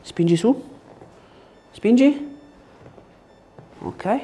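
A man, working as a chiropractor and giving short, gentle instructions and questions during an exam. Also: extremely high pitch, expressive, very slow. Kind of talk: hands-on exam, instructing a patient. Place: small treatment room indoors.

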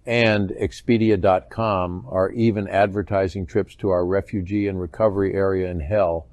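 A businesslike defense official, played by a man. His voice is low and dark, his delivery matter-of-fact. The recording is very dry, audiobook style. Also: dry recording, lightly acted. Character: businesslike male military official briefing the president. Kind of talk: audiobook character acting.

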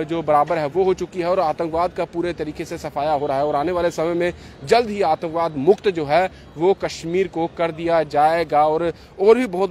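A man's voice: a little high, a little mellow. A man, reporting live and unscripted as a field news reporter, in a wordy, repetitive way. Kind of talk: live on-the-spot reporting, unscripted piece to camera. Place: outdoors on a roadside by a gate and flyover. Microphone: handheld reporter's microphone. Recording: street traffic noise outdoors. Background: street ambience and vehicles.

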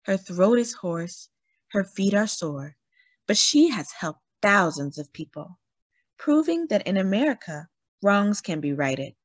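A woman reading a picture book aloud in a dramatic, emphatic storytelling manner with deliberate pauses. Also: mid-range voice, very animated, no room sound. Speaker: children's read-aloud story host. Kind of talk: expressive picture book narration.

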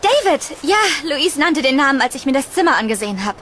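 A woman's voice: high-pitched, very animated, fast talker, fairly bright tone, slight background noise. A woman playing a kind, anxious, trusting young heroine in a horror audio drama, speaking expressively and earnestly. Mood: friendly, curious.

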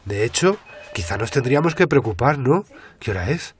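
Radio serial: earnest male investigator voiced by an actor, mid-pitched, colloquial. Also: lively intonation, moderate pace, lightly acted. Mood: concerned. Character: earnest male investigative journalist, casual and concerned. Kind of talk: naturalistic Spanish radio drama dialogue.

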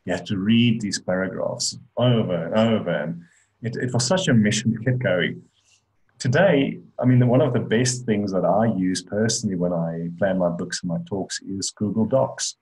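A man's voice: on the low side, normal speed, a little mellow, poor audio. A middle-aged man with a South African accent, giving an online talk with slides and speaking conversationally, with many restarts and fillers. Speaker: conference speaker on AI. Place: indoor room, on a webcam.

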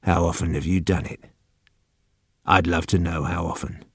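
A man voicing a calm, cunning, commanding old man with slow, deliberate speech in an audiobook story. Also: on the low side, creaky, no echo, clean studio sound. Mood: gentle, coaxing.

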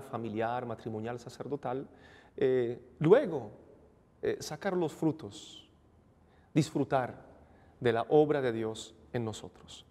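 Reflective priest preaching in a reverberant chapel. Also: male voice, medium pitch. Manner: calm, reflective preaching with frequent "eh" pauses. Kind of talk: homily at Mass. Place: chapel, at a lectern. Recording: long reverb of a church hall.

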